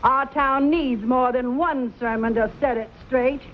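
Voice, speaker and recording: An actress playing a stern, middle-aged frontier mother in an old Western drama, brisk and reproving. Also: high voice, fairly even intonation. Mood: firm, proper. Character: stern, scolding frontier mother of grown sons. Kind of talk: mid-century Western drama acting. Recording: muffled, lightly noisy old broadcast recording.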